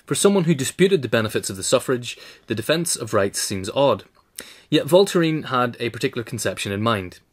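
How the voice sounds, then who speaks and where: A man's voice: medium pitch, big pitch swings, brisk. A man reading a scripted political essay as a narrator.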